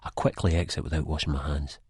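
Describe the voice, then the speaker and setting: An actor playing an anxious Scottish man in a first-person audiobook, with a deep, husky voice. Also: fairly even intonation, fast talker, a little mellow, no room sound, clean studio sound, exaggerated voice. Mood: panicked dread. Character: anxious Scottish man narrating in sheer terror of an old enemy.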